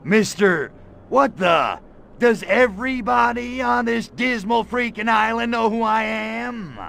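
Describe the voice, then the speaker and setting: A man voicing an irritable, lecherous little alien invader in a video game, gravelly, with a sarcastic, slangy tough-guy delivery. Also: very high-pitched, slow pace, pushed and bright, a little hiss or hum, exaggerated voice. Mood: flirty, cocky. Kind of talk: video game cutscene acting.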